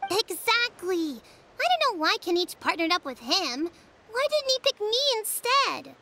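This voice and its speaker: Eager, blunt village child for a game: excitable, sharp bright tone, very lively delivery. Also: female voice, extremely high pitch, a little slow, a little hiss or hum, heavily acted. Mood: grumbling. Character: feisty, eager young child in a village tribe. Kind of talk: video game voice acting.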